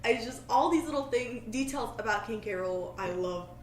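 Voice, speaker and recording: A woman's voice: fairly high pitch, animated, normal speed. A woman hosting a podcast, chatting casually in a rambling, self-deprecating way. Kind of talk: casual chat. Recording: echoey room, faint background noise.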